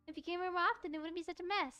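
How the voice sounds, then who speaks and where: A woman puts on a sweet, subdued teenage girl from a visual novel. Her voice is very high and girlish, and she speaks quickly and lightly. Faint music plays behind her. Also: pushed and bright, lo-fi sound. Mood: gently teasing. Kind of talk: visual novel character read-aloud.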